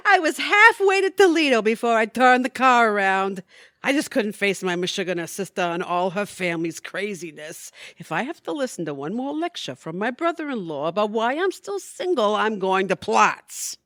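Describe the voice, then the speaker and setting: A woman's voice: medium pitch, very animated, normal speed, fairly bright tone, no echo, clean studio sound. A woman voicing a brassy, man-hungry single friend with a sassy manner in an audio comedy. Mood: exasperated. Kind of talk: audio theatre comedy acting.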